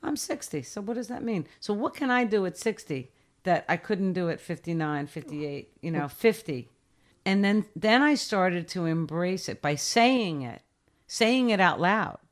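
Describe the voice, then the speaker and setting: A woman in her sixties talks in a relaxed interview. She is emphatic and direct, driving her points home in short repeated phrases, and her voice sits fairly low. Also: unhurried. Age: 60s. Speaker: interview guest. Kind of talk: casual interview.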